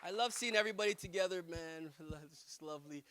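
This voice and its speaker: A young man performing a stand-up comedy set at a wedding reception on a handheld mic, telling animated stories and laughing at his own jokes. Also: a little high, quick pace, no room sound, a faint crowd. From Jamaica. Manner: animated storytelling with self-amused laughs.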